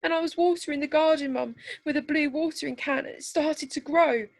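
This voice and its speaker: A woman's voice: high voice, steady tone, brisk, no room sound, pitched above the performer's own voice. A woman voicing a sleepy, artless little sister in a story, with a childlike, plaintive manner. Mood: tearful. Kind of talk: storybook character dialogue.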